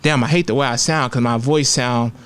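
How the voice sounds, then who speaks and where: A man's voice: medium pitch, steady tone, brisk, fairly bright tone, clear, resonant tone. A man, talking candidly and with plenty of profanity and slang as a rapper guest in a casual interview. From Oakland, California.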